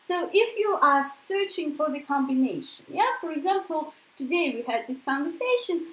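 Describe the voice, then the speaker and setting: A woman's voice: sits high, animated, normal speed, roomy sound, low-quality recording. A Russian-born woman with a Russian accent, teaching an English lesson in a chatty way, often checking in with "yeah?". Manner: chatty, explains with tag questions like "yeah?". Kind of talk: casual spoken lesson.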